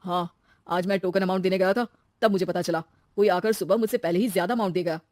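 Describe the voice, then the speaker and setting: A woman's voice: fairly low pitch, steady tone, fast, darkish tone, no room sound, natural voice. An earnest young husband, played by a woman, with a light voice and blunt, emphatic delivery. He is easily exasperated, in a domestic story recorded dry and close. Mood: dejected. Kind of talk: acted story dialogue.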